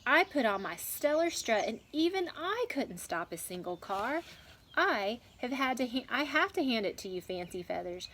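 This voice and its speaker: A woman's voice: on the high side, big pitch swings, moderate pace, a bit bright, voice pushed up. A woman voicing an exhausted, exasperated hen in a children's storybook, emphatic and complaining, in a noisy home recording. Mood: grudging admiration. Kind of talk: children's storybook read-aloud.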